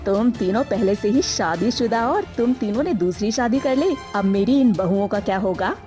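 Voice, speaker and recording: A woman's voice: medium pitch, lively intonation, normal speed, warm tone, soft background music, close to an everyday voice. A woman voicing a long-suffering, firm but caring older mother-in-law in a dramatic, plaintive way for a Hindi moral story cartoon. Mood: outraged, distressed.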